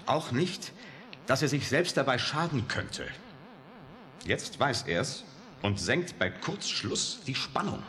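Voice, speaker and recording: A man's voice: mid-range voice, big pitch swings, slow pace, fairly bright tone. An actor playing a cynical, hot-headed male scientist in a science-fiction radio play, blunt, sarcastic and emphatic. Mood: bitter, sardonic. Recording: noisy vintage radio drama recording.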